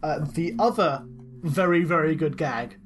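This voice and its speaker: A man's voice: fairly high pitch, moderate pace. A man co-hosting a podcast in casual chat, making dry quips and wordplay between many ums and stammers. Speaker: podcast co-host.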